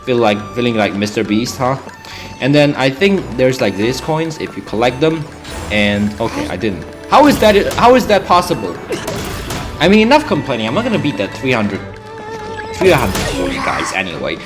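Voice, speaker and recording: A man's voice: medium pitch, sing-song, moderate pace. Rambling young man over game music. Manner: rambling, many fillers and restarts. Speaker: gaming channel host. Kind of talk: casual gameplay commentary.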